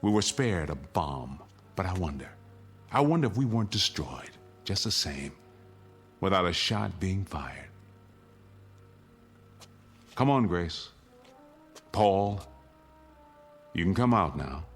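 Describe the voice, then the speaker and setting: Disillusioned suburban man, radio drama. Deliberate, reproachful, very varied pitch. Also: very animated, faint music behind, heavily acted. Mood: grave, weary. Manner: deliberate, pointed repetition.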